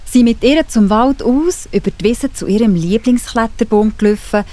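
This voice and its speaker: A woman's voice: on the high side, normal speed, warm tone. A woman with a Swiss accent narrating a scripted children's story.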